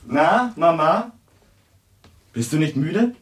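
A cheeky young son in a radio drama. His husky voice moves up and down in a lively way, with a blunt, teasing and sardonic delivery. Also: fairly high pitch, full character voice. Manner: flippant, direct, teasing. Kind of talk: German radio play acting.

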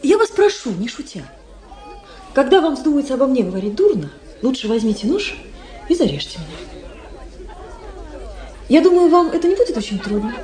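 An actress playing a proud, wounded young aristocratic princess in a Russian radio drama. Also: female voice, high-pitched, very animated, normal speed, pauses often, a little mellow, reverberant, some chatter behind. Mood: hurt reproach.

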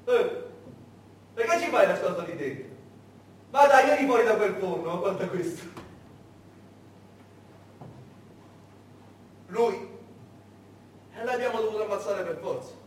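A menacing wartime interrogator, taunting n bossy, high swoopy voice, super echoey stage play. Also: male voice, animated, normal speed, long pauses. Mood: mocking. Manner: taunting, falsely coaxing, commanding. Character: bullying male Nazi-era interrogator, mocking, coaxing, turning furious. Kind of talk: live radio theatre acting. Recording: very echoey hall, distant mic, noisy.

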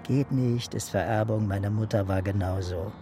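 A man's voice: lowish voice, normal speed, over music, natural voice. A man acting a sardonic police investigator in a radio crime drama, dryly musing in rhetorical questions.

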